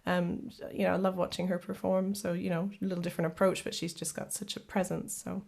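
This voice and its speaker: A woman from Canada with a slightly low-pitched voice, talking as a singer-songwriter guest in a relaxed remote interview. She chats easily, laughs often, and repeats words as she gets going. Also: fast, vocal fry. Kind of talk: casual interview chat.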